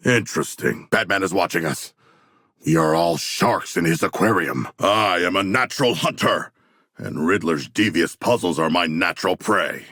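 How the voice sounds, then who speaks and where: An actor playing a huge, solemn shark warrior in a video game, eager for battle, speaking in formal, stilted phrases with a raspy, gravelly voice. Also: male voice, deep voice, animated, moderate pace, pushed and bright, no echo, studio quality, full character voice. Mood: solemn, deadpan. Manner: formal, stilted, grandiose diction without contractions. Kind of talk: video game character voice lines.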